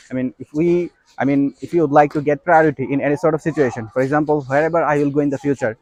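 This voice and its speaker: Young male English teacher, Bangladeshi accent, native Bengali speaker, quick emphatic explaining, dry and slightly muffled recording. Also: a little high, a little mellow, no echo. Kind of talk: instructional talk to learners.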